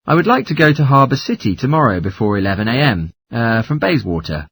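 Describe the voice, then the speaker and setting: A man's voice: mid-range voice, very animated, a little fast, a bit bright, slightly rough, dry recording, exaggerated voice. A man playing a polite caller asking for travel information in a scripted language-test dialogue. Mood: polite, inquiring.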